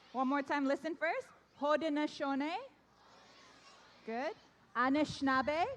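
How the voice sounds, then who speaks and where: A young woman with a high, bright-sounding voice hosts a ceremony for kids, coaxing them into call-and-response. Her first language is Korean, though she grew up in Canada. Her words ring out with the long reverb of a large hall. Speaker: event host. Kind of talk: addressing a young audience at an awards ceremony.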